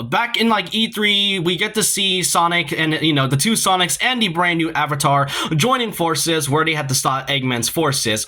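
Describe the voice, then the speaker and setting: A man's voice: on the high side, pushed and bright. A man giving informal, opinionated video essay commentary on games, speaking emphatically and often stumbling over or repeating words. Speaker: video game commentary YouTuber.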